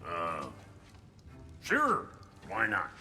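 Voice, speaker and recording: A man's voice: deep voice, wildly swooping pitch, very slow, music in the background, exaggerated voice. A man playing a touchy, sarcastic, put-upon dwarf cook in a video game.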